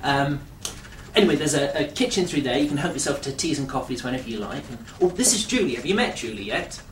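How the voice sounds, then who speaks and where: A woman's voice: a bass voice, animated, quick pace, slight background noise. Flustered stammering woman, distant roomy mic. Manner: flustered, hesitant, stammering restarts. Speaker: comedy podcast performer. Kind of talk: scripted comedy sketch acting. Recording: roomy echo, distant mic, faint ambience.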